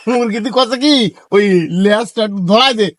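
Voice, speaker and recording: High-pitched pestering village storyteller played by a man. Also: steady tone, normal speed. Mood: excitedly storytelling. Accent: rural Bangladeshi Bengali. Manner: insistent, exclamatory, repetitive. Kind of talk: comedy skit acting with colloquial dialogue. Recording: clearly noisy recording with clicks.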